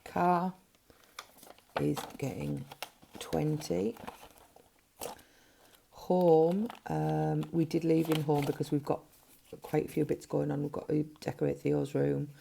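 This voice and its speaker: A middle-aged woman with a gruff voice, chatting casually as a budgeting vlogger while counting money at a table at home. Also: low-pitched, flat delivery, slow, pauses often, warm tone, slight background noise. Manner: chatty, counting notes under her breath. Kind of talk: casual cash-stuffing vlog narration. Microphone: overhead camera framing hands.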